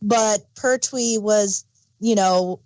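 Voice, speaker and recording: A woman's voice: medium pitch, takes it slowly, a little mellow, no room sound. A woman co-hosting a review podcast, explaining details in casual chat and correcting herself as she goes. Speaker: podcast co-host reviewing audio dramas.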